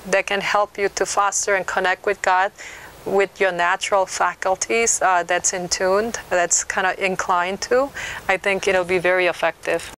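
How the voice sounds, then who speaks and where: An older woman, a reverend guest, calmly explaining a prayer practice in a studio interview, with frequent fillers. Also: medium pitch, moderate pace, slight background noise, rough recording. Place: TV news studio set.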